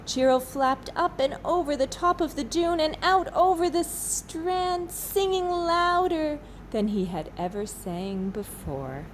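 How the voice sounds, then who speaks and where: Slow-paced woman reading a children's story. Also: sits high, a little hiss or hum, poor audio. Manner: slow, dramatic pauses, asks listeners questions. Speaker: teacher reading a story aloud. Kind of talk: expressive children's storybook read-aloud.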